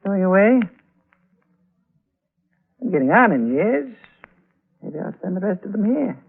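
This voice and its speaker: A man's voice: high voice, sing-song, measured pace, vocal fry, husky, exaggerated voice. An actor playing a sly, smug aging male blackmailer in a vintage radio thriller, terse and insinuating. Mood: sly, menacing. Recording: tinny old radio recording, music behind.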